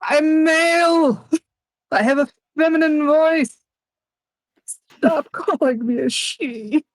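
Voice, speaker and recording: A man's voice: very high voice, steady tone, slow, no echo. A man playing a tabletop game, with a wry way of talking. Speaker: player.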